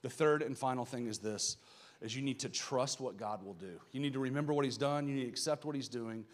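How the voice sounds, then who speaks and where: A pastor with a Southern American accent preaches a live Sunday sermon. His voice sits at an ordinary middle pitch for a man. He talks earnestly and pushes into loud, fervent emphasis as his points land. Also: brisk. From Southern United States.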